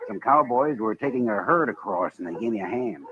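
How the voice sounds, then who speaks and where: A man's voice: fairly low pitch, animated, a little fast, natural voice. An American man acting a genial, respectable town banker in a radio Western, polite and genteel. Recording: tinny, hissy old radio recording.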